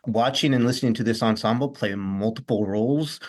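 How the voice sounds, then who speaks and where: A man's voice: mid-range voice, fairly quick. A man who acts on stage, chatting in an interview in an earnest, reflective way. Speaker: stage actor, guest. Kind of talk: interview chat about a stage role.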